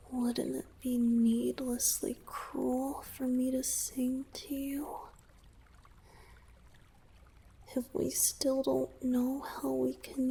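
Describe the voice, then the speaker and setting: A woman voicing a lovesick young merman in a romantic ASMR roleplay, with a hesitant, earnest delivery in a breathy whisper. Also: sits high, mellow, slight background noise, full character voice. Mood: anxious, hesitant. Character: lovesick young merman, tender, anxious and yearning.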